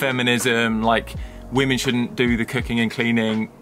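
A man's voice: mid-range voice, little pitch movement, moderate pace, fairly bright tone, soft background music. A man in his thirties chatting on a vlog with his wife, reflective and thinking aloud, recorded outdoors in a garden. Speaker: vlogger, co-host. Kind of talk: casual chat.

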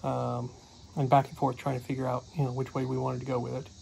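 A man's voice: mid-range voice, monotone, quick pace, gravelly, a little mellow, a little hiss or hum, low-quality recording. A middle-aged man giving a casual daily vlog recap, rambling with many fillers, recorded on a phone camera close to his face in a small cluttered room. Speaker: homestead vlogger.

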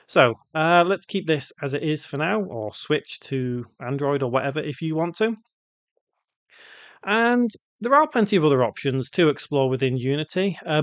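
Man, slightly high voice, casual tutorial presenter, step-by-step instruction, dry close-miked voice-over. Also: dry recording. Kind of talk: unscripted step-by-step software tutorial narration.

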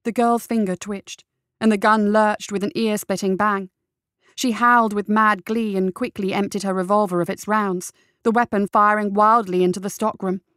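A woman's voice: medium pitch, steady tone, normal speed, a bit bright, clean tone, no room sound. Woman narrating fiction, dry close sound. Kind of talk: scripted story narration.

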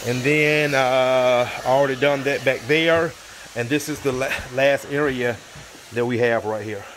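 A man's voice: medium pitch, measured pace, slight background noise. A man who cleans floors, talking casually to viewers as he films a job in an unfinished basement on a handheld phone. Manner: relaxed, talks to viewers with fillers. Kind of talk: casual work vlog walkthrough. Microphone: handheld phone camera.

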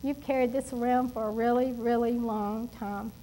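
High-voiced woman preaching with emphasis. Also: normal speed, a little hiss or hum, poor audio. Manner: animated and emphatic, with laughs and asides. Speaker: pastor. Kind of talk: sermon. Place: church stage at a wooden pulpit.

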